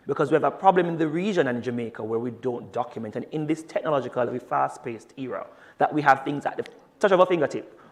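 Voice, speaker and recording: Courteous man moderating a panel. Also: medium pitch. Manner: warm, encouraging, courteous.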